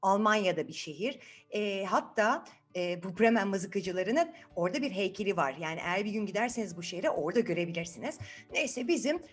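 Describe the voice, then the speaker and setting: A woman's voice: mid-range voice, lively intonation, fairly quick, faint music behind. A woman telling a children's fairytale in a chatty way, asking rhetorical questions and repeating words for effect. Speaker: children's storyteller.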